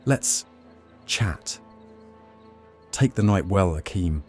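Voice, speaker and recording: A man playing a young Roman vampire master in an audio drama, measured, teasing and faintly threatening. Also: low-pitched, animated, a little mellow, soft background music, exaggerated voice. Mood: amused, casual. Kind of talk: audio drama roleplay acting.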